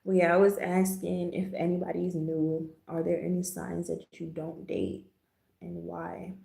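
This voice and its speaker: Casting: American female livestream host, relaxed mellow tone with lots of vocal fry, chatty and slangy, echoing room sound. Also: fairly low pitch, a little slow. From Jamaica, raised in the US. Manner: laid-back, slangy, chatty. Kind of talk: casual livestream chat. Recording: roomy, echoing livestream audio.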